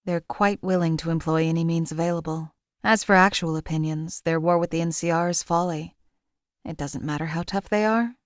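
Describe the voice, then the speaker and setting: A woman's voice: deep voice, fairly even intonation, a little fast, dry recording, close to an everyday voice. A woman voicing a cold, detached assassin. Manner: dry, detached, coolly contemptuous. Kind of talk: video game voice lines.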